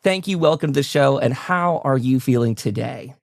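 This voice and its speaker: A man's voice: medium pitch, normal speed, creaky, dry recording, clean studio sound. A man hosting a casual interview show, talking in an enthusiastic, effusive way. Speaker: talk show host.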